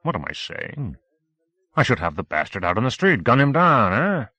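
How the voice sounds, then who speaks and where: A man's voice: mid-range voice, big pitch swings, normal speed, breathy edge, dry recording, pitched above the performer's own voice, exaggerated voice. A man voicing a rotund, tipsy middle-aged man at a party, crude and bitter, swearing freely. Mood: jovial. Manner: loud, swearing, mocking.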